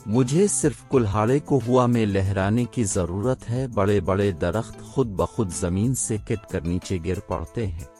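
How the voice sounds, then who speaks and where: Storybook braggart, played by a man: lowish, unhurried delivery, always one-upping, for a kids' tale. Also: darkish tone, natural voice. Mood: boastful. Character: boastful, bickering male tall-tale teller. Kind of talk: children's audio story dialogue. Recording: voice over background music.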